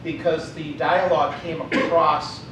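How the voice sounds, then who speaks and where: A man in the audience asks a long, winding question, feeling his way through it. His voice sits a bit high and reaches the mic from far off in a very roomy space. A rumble runs behind him. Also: level tone, a little slow. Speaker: audience member. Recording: echoey room from far off with rumble.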